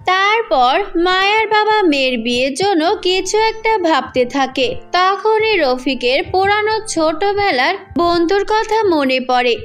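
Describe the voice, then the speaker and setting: A woman storyteller reading scripted narration for a cartoon fairy tale. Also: very high-pitched.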